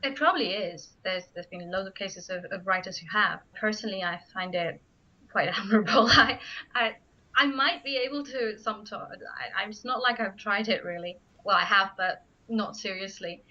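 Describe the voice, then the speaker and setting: Spanish woman writer on a radio show, bright forceful voice, keeps restarting her sentences, a bit muffled. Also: medium pitch, moderate pace. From Spain. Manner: hesitant, frequent restarts. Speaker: guest writer. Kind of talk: radio interview. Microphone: studio microphone. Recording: muffled radio studio audio.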